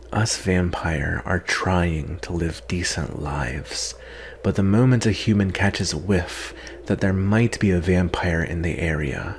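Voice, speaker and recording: A man acting out a proud, sardonic captive vampire in a soft-spoken, disdainful ASMR roleplay. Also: low voice, slight background noise. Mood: bitter, defensive. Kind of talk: ASMR roleplay, acted monologue.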